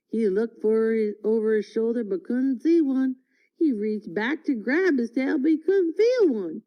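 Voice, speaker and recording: A woman reading a folksy tall tale aloud in an expressive, deliberate storytelling manner. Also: high-pitched. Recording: dull, lo-fi audio.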